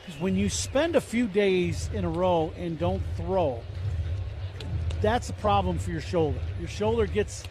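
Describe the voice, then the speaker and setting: A man giving relaxed, rambling baseball color commentary on the radio, full of fillers, speaking as a former player. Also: sits high, measured pace, a little hiss or hum. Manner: rambling, filler-heavy analysis.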